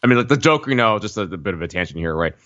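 A man's voice: lowish voice, animated, very fast, fairly bright tone, no room sound, lo-fi sound. A man co-hosting a culture podcast, talking in a thoughtful, hedging way with lots of "like" and "right?". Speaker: podcast co-host. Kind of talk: casual conversational commentary.

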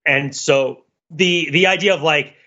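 A man's voice: a little high, measured pace, pushed and bright, lo-fi sound. A man co-hosting a podcast, talking through a film in a casual, rambling, analytical way. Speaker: podcast co-host.

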